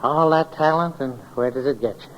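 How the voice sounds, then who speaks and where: A man's voice: medium pitch, moderate pace, lightly acted. An American actor playing a scheming, domineering old male tycoon in a 1940s radio drama, blustering and condescending. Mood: smug, condescending. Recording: dull vintage radio recording, faint noise.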